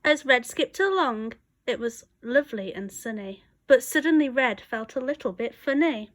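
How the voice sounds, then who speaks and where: A woman reading a rhyming picture book aloud as a storyteller, expressive and rhythmic. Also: high-pitched, normal speed.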